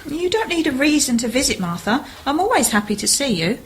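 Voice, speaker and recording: A woman plays a homely, cautious sister in an amateur radio drama. Her high voice moves with animated swings as she asks sensible questions. Light noise sits behind her. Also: fairly quick. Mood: homely, fretful. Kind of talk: amateur radio play acting.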